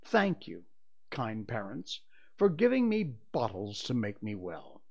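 Man acting a pompous, blustering father, swooping pitch. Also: huge pitch swings, unhurried, vocal fry, darkish tone, heavily acted. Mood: pompous, boastful. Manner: pompous, indignant, self-justifying. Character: pompous, blustering, cowardly father of young children.

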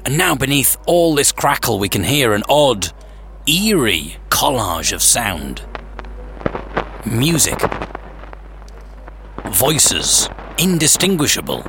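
Theatrical storyteller with animated delivery. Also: male voice, mid-range voice, background noise. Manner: theatrical, suspenseful pauses; wry, dry humour. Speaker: podcast host. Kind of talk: scripted storytelling narration. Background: music.

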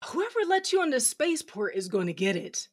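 An actress playing a hot-headed, drunken cyborg fighter, narrating in first person with slurred, sardonic defiance and foul-mouthed bite. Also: female voice, a little high, big pitch swings, brisk, background noise. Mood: drunken rage.

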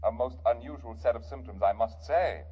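A man's voice: medium pitch, brisk, breathy edge, close to an everyday voice. A man playing a formal, pedantic robot therapist from Mars in a 1950s radio drama. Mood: pedantic, insistent. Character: pompous, clinical male robot therapist machine from Mars. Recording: dull, lo-fi 1950s radio recording.

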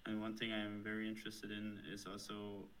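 Low flat German-accented man. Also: a little hiss or hum, rough recording. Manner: thoughtful, halting with fillers and restarts. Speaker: software developer guest. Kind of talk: interview answers.

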